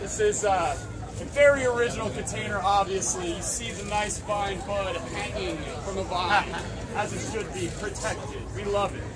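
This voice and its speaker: A man's voice: sits high, normal speed. A young man emceeing a contest at an outdoor fair, reading entry notes into a handheld microphone over a PA, then rallying the crowd. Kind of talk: announcing at an outdoor event. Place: outdoor fair under a canopy tent. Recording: distant camera mic, noisy crowd. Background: crowd chatter.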